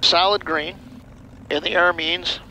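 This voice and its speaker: Mature male pilot over loud cabin noise. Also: on the high side, fairly even intonation, slow pace, poor audio. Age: middle-aged or older. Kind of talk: casual explanation and quizzing. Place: small airplane cockpit.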